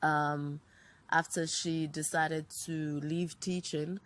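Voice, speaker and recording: A woman in her thirties from Zambia, a writer and producer recalling her childhood in a relaxed interview. She talks in a reflective way, reeling off long runs of names. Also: deep voice, slow pace. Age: 30s.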